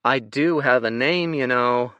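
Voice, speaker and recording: A man's voice: medium pitch, unhurried, pushed and bright, clean tone, no room sound, dull audio, pitched above the performer's own voice. A man doing a dry, sarcastic young man. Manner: dry, sardonic.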